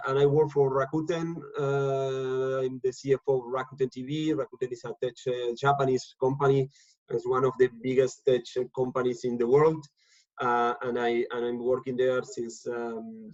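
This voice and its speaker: A man from Argentina, speaking as a guest on a video call from home, halting and repeating words often. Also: mid-range voice. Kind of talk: casual talk on a video call. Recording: dull lo-fi video call audio.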